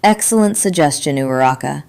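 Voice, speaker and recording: A woman's voice: low-pitched, animated, a little fast, gravelly, darkish tone. A woman voicing a composed, rule-abiding teenage boy class representative in a story, speaking formally and properly. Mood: approving, formal. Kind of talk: story character voice.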